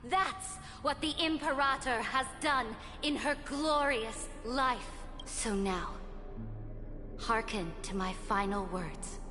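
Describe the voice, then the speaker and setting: A woman's voice: a little high, slow. A woman playing a proud, resolute conqueror empress in a video game, speaking in an imperious, declamatory manner. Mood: proud, defiant. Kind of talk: dramatic video game voice acting. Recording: long reverb, music behind.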